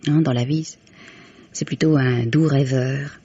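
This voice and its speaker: An actress playing a composed, candid young mistress in 1950s French radio drama, with measured, formal diction. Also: female voice, a bass voice, fairly even intonation, dark tone, breathy edge, heavily acted. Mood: fond, musing. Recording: dull, band-limited vintage radio recording.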